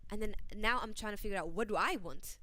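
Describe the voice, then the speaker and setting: A woman's voice: medium pitch, quick pace, pushed and bright. A woman hosting a casual livestream, chatting away with plenty of "like" and "you know" and reading out viewer messages. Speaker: stream host.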